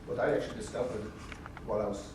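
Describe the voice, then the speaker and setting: A man's voice: lowish voice, steady tone, racing. Swedish man demoing code in an echoey hall. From Sweden. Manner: informal, explains step by step with frequent restarts. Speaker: conference presenter. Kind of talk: technical demo walkthrough. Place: large conference room. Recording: echoey hall, voice far from mic, noisy.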